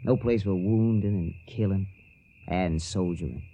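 A man playing a gentle, wistful young soldier in a radio drama, speaking in a measured, reflective way. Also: sits low. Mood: wistful, resolute. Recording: noisy old radio drama recording.

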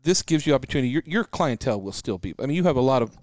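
Man, host, rapid speech, dry sound, joking casual chat. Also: mid-range voice, expressive, a little mellow, no room sound. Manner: quick, joking, chatty.

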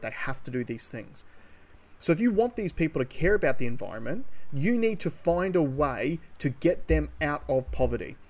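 Emphatic man, slightly higher voice. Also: a little mellow. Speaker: podcast co-host. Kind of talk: opinionated casual discussion.

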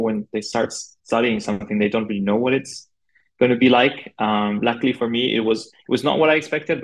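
A man from Argentina speaking as a podcast guest in a casual, conversational way, informal and halting, with plenty of filler words. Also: mid-range voice, poor audio. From Argentina (Buenos Aires). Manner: informal, halting, peppered with 'like' and 'you know'. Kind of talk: casual conversational interview, telling own career story.

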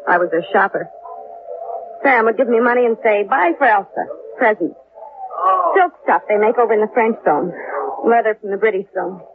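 An actress playing a brassy, world-weary American carnival barker in a 1950s radio drama, slangy and hard-boiled. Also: female voice, mid-range voice, moderate pace, pauses often, lightly acted. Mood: frank, wry. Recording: tinny, noisy 1950s radio recording.

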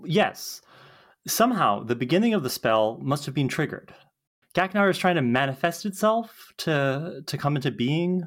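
A woman playing an enthusiastic, anxious young college witch in a podcast table read, dramatic and halting when flustered. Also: low voice, expressive. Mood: nervous explaining.

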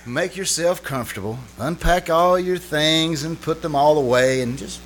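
A man's voice: a little high, moderate pace, fairly bright tone, lightly acted. A man playing a hesitant, sly husband bluffing his way along in an old-time radio comedy. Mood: nervous bluffing.

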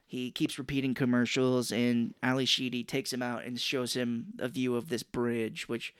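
A man's voice: mid-range voice, little pitch movement, clean tone, no room sound, studio quality. A man co-hosting a podcast, recapping a film in casual chat and joking as he retells scenes. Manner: joking, recaps scenes with quick put-on quotes. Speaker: podcast co-host.

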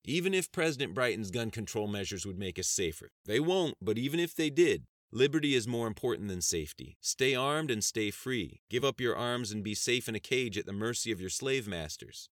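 A man's voice: on the low side, big pitch swings, a little fast, hardly any pauses, fairly bright tone, no room sound, clean studio sound, voice pushed up. A man voicing a defiant, brash congressman who debates emphatically in a narrated political novel. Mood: defiant, emphatic. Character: defiant, brash male pro-gun congressman.

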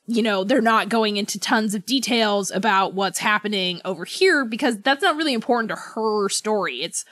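A woman's voice: mid-range voice, fairly bright tone, no room sound. Woman chatting casually, dry close sound. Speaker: book club podcast co-host. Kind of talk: casual book discussion chat.

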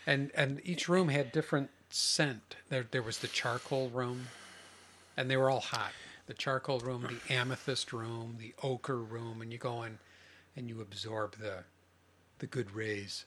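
A man's voice: medium pitch, a little slow, a little hiss or hum. A man co-hosting a podcast, telling stories in a playful, casual way and breaking into silly imitations. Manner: animated storyteller, mimics sounds playfully. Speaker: podcast co-host. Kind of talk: casual comedic chat.

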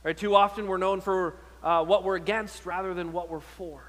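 Male preacher, kinda high voice, earnest sermon w/ lots of rhetorical questions, big hall reverb. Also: steady tone, moderate pace, a bit bright. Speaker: pastor preaching.

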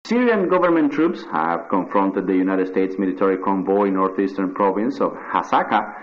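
A man's voice: deep voice, lively intonation, normal speed. A man reading scripted news reports as a radio newsreader. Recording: dull, band-limited shortwave-style radio sound.

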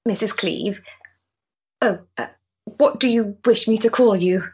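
Woman playing a slow, breathy, earnest Victorian lady. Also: mid-range voice, a little mellow, dull audio. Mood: nervous, yearning. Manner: hesitant, halting, emotional. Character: earnest, restless, impulsive lonely Victorian woman. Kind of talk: period stage drama acting.

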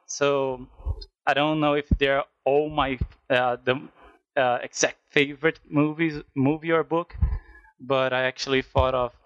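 A man's voice: mid-range voice, a bit bright, no room sound, highs cut off. A man from Brazil with a Brazilian accent, a guest in a casual podcast chat, who searches for words and hesitates often. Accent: Brazilian Portuguese.

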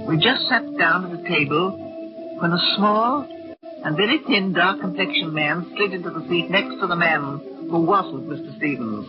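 A woman's voice: on the low side, lively intonation, moderate pace, close to an everyday voice. An actress playing a frightened middle-aged aunt who recounts her ordeal in a vintage radio drama. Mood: tense, recollecting. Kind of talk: 1940s-50s radio drama acting and narration. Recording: dull old radio recording, music behind.

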